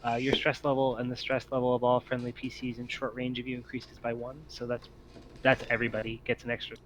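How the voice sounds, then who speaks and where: A man acting as game master, improvising narration and talking through rules in a hesitant, deliberate way. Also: mid-range voice, completely flat delivery, fairly bright tone, rough recording. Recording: clear background noise.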